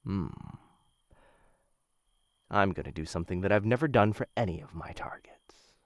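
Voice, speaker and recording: A man playing a menacing, infatuated assassin in a boyfriend ASMR roleplay, speaking low, hushed and intimate into a close microphone, teasing and often slipping into whispers. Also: moderate pace, dry recording. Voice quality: low, gravelly.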